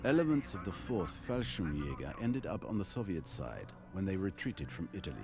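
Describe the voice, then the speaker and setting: An actor playing a cool, self-assured army commander, formal and deliberate, in a video game. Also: male voice, low-pitched, very animated, over music. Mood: confident, formal. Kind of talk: video game cutscene acting.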